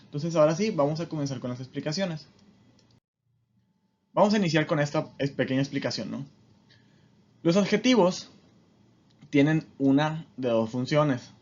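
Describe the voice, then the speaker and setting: Higher-voiced man teaching, slightly muffled recording. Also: animated, moderate pace, pauses often, a little mellow. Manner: didactic, often checks understanding with short tag questions. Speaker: language teacher. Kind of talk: informal explanatory lesson.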